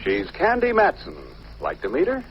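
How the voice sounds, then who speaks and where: An actor playing a mysterious, smooth-talking, menacing man in theatrical 1940s radio drama style. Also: high-pitched, wildly swooping pitch, moderate pace, over loud music, heavily acted. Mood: insinuating. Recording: noisy, muffled old 1940s radio recording.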